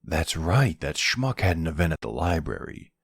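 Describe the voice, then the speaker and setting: A man playing a charming, smooth-talking mafia boss in an ASMR roleplay, wry and flirtatious. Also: very deep voice, big pitch swings, moderate pace, fairly bright tone, no echo, studio quality, full character voice. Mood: exasperated. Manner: flirtatious, wry, exasperated with his cousin. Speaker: voice actor.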